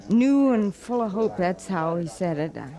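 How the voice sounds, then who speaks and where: An actress playing a bitter, wounded widowed homesteader in an old-time radio western, speaking haltingly and with intense feeling. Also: female voice, mid-range voice, animated, dark tone, natural voice. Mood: wistful, halting. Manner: halting, emotionally intense, accusing. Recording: old radio drama recording.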